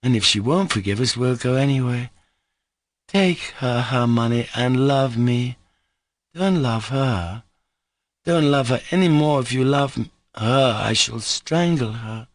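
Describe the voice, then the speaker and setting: A man voicing an emotional, drunken young woman who pleads in a halting, breathless way. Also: medium pitch, animated, no echo, studio quality, voice pushed up. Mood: impassioned.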